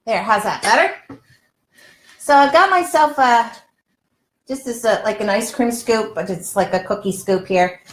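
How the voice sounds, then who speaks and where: High-voiced older woman, echoey room. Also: a little slow, pauses often. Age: older, around 60s. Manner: chatty, exclamatory, addressing viewers directly. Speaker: health coach and cooking show host. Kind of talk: casual live cooking demonstration. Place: home kitchen, indoors. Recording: roomy, echoey home kitchen sound.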